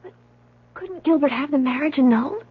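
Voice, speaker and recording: A woman's voice: high-pitched, brisk, no echo, lightly acted. An actress playing a devoted young woman in love in a 1970s radio drama, tender and earnest. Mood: worried. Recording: tinny, dry old radio broadcast.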